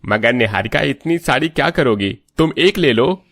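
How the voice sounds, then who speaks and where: A man's voice: medium pitch, lively intonation, moderate pace, a bit bright, no echo, clean studio sound. A man playing a mild, reasoning young husband in a cartoon moral story. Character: mild young husband of a spoiled rich wife.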